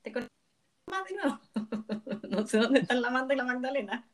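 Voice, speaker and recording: A woman in her forties co-hosting a casual podcast, chatty and quick to laugh. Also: on the high side, expressive. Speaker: podcast co-host.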